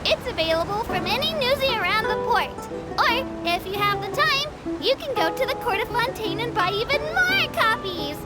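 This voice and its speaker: A woman's voice: extremely high pitch, sing-song, normal speed. A woman voicing a small childlike creature in a video game, a cheerful, earnest tour guide who makes polite, chirpy scripted announcements. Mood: bright, helpful. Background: game music.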